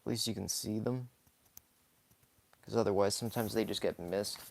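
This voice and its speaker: A hoarse young man talks casually while sketching live, rambling softly with many fillers and small laughs. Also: lowish voice, moderate pace. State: hoarse, scratchy from talking too much. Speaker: live-streaming sketch artist. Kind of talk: casual commentary while drawing.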